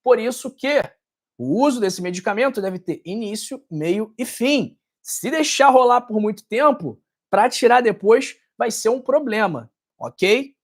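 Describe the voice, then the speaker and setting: A man's voice: very high-pitched, lively intonation, unhurried. A man with a Carioca accent from Rio de Janeiro, a doctor talking to camera in a direct, scolding way, ending many sentences with a tag question. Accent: Carioca Brazilian Portuguese. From Rio de Janeiro, Brazil. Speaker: doctor and health channel host. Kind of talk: casual talk to camera, answering viewer comments.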